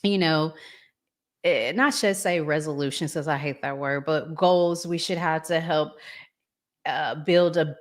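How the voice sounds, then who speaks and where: A woman's voice: low-pitched, measured pace. A woman co-hosting a relationship podcast, talking in a candid, colloquial, conversational way. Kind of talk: casual conversational talk, reads out listener comments.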